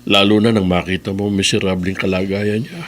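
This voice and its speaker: A man as a grave, low-voiced older father figure. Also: steady tone, a little fast, slight background noise, close to an everyday voice. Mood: grave, concerned. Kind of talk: Filipino radio drama acting.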